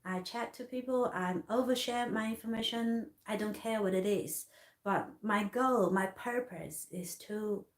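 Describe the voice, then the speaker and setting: A woman from Hong Kong in her thirties telling a personal story in halting English with many restarts. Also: medium pitch, reverberant. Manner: halting, many restarts and fillers, non-native grammar. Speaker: artist vlogger.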